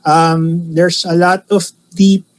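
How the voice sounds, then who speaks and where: A man chatting in a relaxed, joking way, his voice sitting a bit high. He laughs easily between his points. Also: takes it slowly. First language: Filipino. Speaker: co-host reacting to a show. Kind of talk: casual chat.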